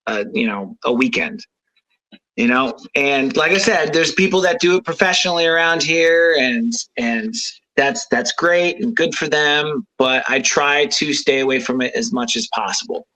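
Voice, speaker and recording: A man who fronts a rock band, chatting casually on a radio show with a high voice, rambling with fillers and easy laughs. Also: bright, forceful tone, poor audio. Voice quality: unusually high voice. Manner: rambling, many fillers and restarts, laughs easily.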